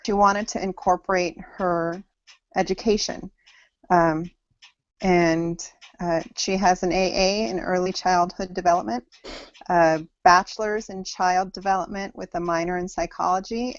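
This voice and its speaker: A woman in her thirties from Samoa and Hawaii, presenting to an online class in a halting way with frequent pauses, heard over a video call. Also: fairly low pitch, fairly even intonation, dry recording. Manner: halting, pausing often mid-sentence. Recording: dull lo-fi video call audio.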